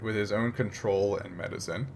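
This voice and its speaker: A man with a fairly low voice runs a tabletop roleplaying session. His narration is casual and a bit hesitant, finding the wording as he goes, with slight background noise. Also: steady tone, normal speed, vocal fry, a little mellow. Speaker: game master.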